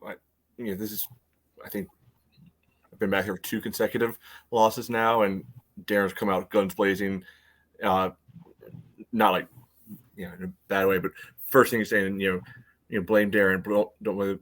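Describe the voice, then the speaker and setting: A man with a low voice talks through a casual sports podcast. He rambles loosely and leans on filler phrases between his points. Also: a bit bright. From United States. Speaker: podcast co-host.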